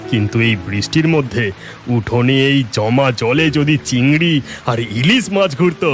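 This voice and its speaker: A man voicing an eager, food-loving, excitable village husband in a cartoon story, given to exclamations. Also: mid-range voice, moderate pace, faint music behind, close to an everyday voice. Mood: wistful.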